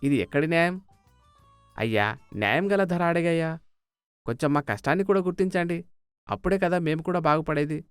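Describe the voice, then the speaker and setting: A man's voice: on the high side, fairly quick, pauses often, clean tone, dry recording, studio quality, soft background music, close to an everyday voice. A man voicing an indignant village fisherman who haggles with sarcasm and pleading in a moral story.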